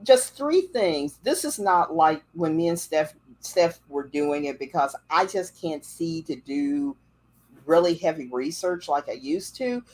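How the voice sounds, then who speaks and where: A woman hosting a casual livestream, chatting in a slightly low voice. She rambles through her stories. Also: animated, a little slow, a little mellow, rough recording. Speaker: livestream host. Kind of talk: casual chat. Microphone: livestream setup.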